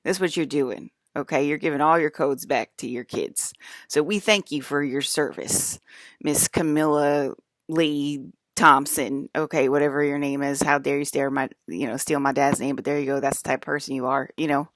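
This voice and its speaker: A woman's voice: low-pitched, steady tone, normal speed, dry recording, studio quality. A woman in her fifties talking straight to her viewers in a rambling, confrontational monologue, full of fillers and swearing. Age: 50s. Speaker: video blogger.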